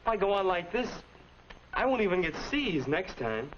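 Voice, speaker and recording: A muffled old film soundtrack with clear noise carries an anxious high school boy. He is polite and earnest, with very animated pitch, in the manner of 1950s classroom film acting. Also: normal speed. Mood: troubled. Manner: earnest, deferential.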